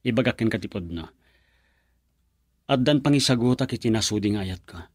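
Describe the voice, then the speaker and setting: A man's voice: lowish voice, normal speed, dry recording, studio quality, natural voice. An actor playing a reluctant, lovelorn young man in a radio drama, with an Ilocano accent. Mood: earnest. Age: young adult. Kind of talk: Filipino radio drama acting.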